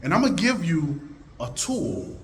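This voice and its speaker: A man with a deep voice speaks to a live conference audience. His motivational talk is animated and swings from loud calls to the crowd to hushed, low tones. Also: unhurried, slight background noise. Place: conference hall.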